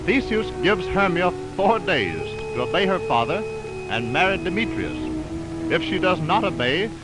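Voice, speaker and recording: A man's voice: high-pitched, very animated, moderate pace, rough recording. A man giving formal, scripted narration as the announcer of a 1920s radio drama. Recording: 1929 radio aircheck on film. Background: loud music.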